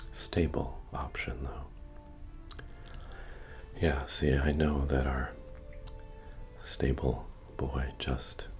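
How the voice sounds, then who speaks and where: Medieval innkeeper, male performer: bottomless low voice, unhurried, soothing, near-whispered ASMR roleplay, friendly and humble. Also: heavily acted. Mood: hushed, confiding. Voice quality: deep, breathy, often near a whisper. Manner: slow, soothing, gently repetitive. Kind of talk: soft-spoken ASMR roleplay. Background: music.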